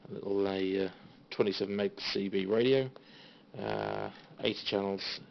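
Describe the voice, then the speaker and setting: A British man giving a casual, halting, understated tour of his hobby equipment, as an enthusiast showing viewers around. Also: lowish voice, steady tone, creaky. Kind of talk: casual informal show-and-tell. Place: small radio room at home. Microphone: handheld phone. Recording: dull phone recording with faint noise.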